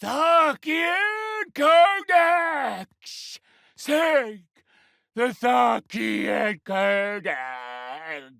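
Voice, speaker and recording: A woman's voice: fairly high pitch, expressive, drawn-out words, vocal fry, a bit bright, no room sound, studio quality, exaggerated voice. A woman playing an agitated, wailing spirit in a video game, with an urgent, ominous, chanting manner. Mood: frantic urging. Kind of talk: video game character acting.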